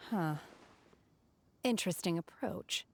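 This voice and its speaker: A woman's voice: on the low side, slow pace, creaky, a little hiss or hum. A woman playing a reserved, socially awkward young woman in a video game, speaking tersely and with detachment. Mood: detached.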